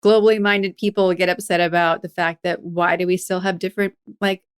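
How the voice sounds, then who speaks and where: A woman hosting a podcast interview, talking in a chatty, animated way. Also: on the low side, fairly quick, clean tone. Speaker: podcast host. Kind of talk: conversational interview.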